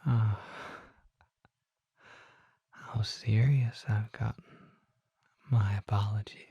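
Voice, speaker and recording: A performer voicing a courteous, mysterious vampire merchant in an ASMR roleplay, speaking in a breathy whisper with formal, polite phrasing. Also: medium pitch, steady tone, mellow, no echo, dull audio, exaggerated voice. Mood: apologetic, gentle. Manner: formal, polite, unhurried, old-fashioned phrasing.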